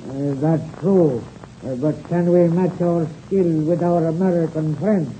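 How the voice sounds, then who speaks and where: An actor playing a slyly flattering, courteous Russian air race pilot with a Russian accent in a 1930s radio serial. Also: male voice, fairly high pitch, a little slow, lightly acted. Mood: oily courtesy. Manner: flattering, repeatedly says "my friend". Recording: muffled, hissy old radio recording.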